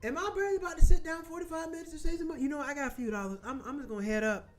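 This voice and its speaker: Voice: high-pitched, fairly even intonation, faint music behind. A host from Florida chatting casually with viewers, rambling through loose asides and swearing freely. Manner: rambling, salty, talks in quick asides. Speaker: show host.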